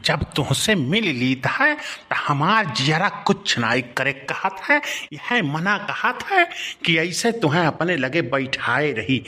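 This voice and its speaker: A man plays a smitten village fellow from a comedy cartoon. His voice sits a little high for a man. He draws out sentimental pleas at a very unhurried pace in an Awadhi accent. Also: big pitch swings, pushed and bright, heavily acted. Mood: lovesick, pleading.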